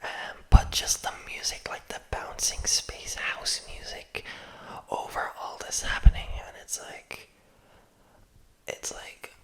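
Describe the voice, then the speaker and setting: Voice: slow pace, poor audio. A young adult ASMR creator in a close-mic whisper, chatting loosely with lots of fillers and often losing the thread. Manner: rambling and loose, heavy on "like" and "um" fillers; often loses the thread of the words. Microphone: close-up mic, near enough to pop.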